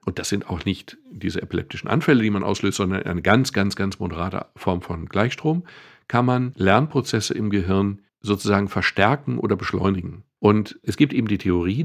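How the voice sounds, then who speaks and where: A man's voice: on the low side, vocal fry. A man co-hosting a podcast, explaining things thoughtfully at length with some dry humor. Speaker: podcast co-host. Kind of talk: casual explanatory chat.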